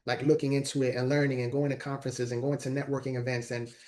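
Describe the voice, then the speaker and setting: Quick, flat-voiced man in his forties. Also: medium pitch. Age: 40s. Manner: earnest, repeats words while talking. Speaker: guest, motivational speaker and author. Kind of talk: casual interview conversation.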